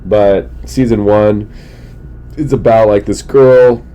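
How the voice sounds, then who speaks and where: A man's voice: steady tone, takes it slowly, mellow. A middle-aged man with a stuffy, congested, sick-sounding voice, talking loosely and casually as a solo podcast host, with slangy asides. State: sick, congested, losing his voice, throat-clearing. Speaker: comedian hosting a solo podcast. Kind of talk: casual rambling monologue. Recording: noticeable background noise with low rumble.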